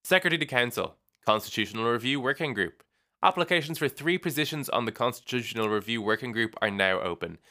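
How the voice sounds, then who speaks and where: A young man reading a weekly student union bulletin in a brisk, upbeat way, lifting his voice at each new item. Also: mid-range voice, lively intonation, bright, forceful tone.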